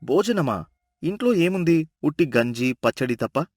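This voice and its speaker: A man voicing a poor, greedy village husband in a storybook drama, talking in a lively, excitable way. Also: on the high side, normal speed, no room sound, clean studio sound. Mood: hungry.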